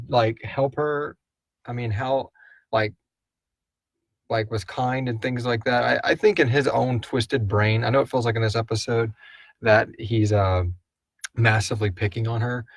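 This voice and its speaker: A man's voice: on the low side, dry recording. A man co-hosting a casual live question and answer chat, rambling loosely and searching for his words. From Houston, Texas area. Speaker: co-host and audio drama creator answering listener questions.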